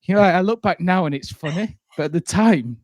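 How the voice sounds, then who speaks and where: A man's voice: sits high, brisk, no room sound, clean studio sound. A man who is a hospitality entrepreneur guest, telling casual, rambling anecdotes and swearing freely.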